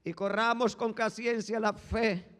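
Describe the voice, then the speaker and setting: A male pastor preaching a fervent sermon, swinging from hushed tones to shouting and repeating "brothers" as a refrain. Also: high-pitched, fairly even intonation, unhurried. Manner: repeats "hermanos" as a constant refrain. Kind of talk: Spanish-language sermon. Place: church sanctuary. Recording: long echo of a large hall.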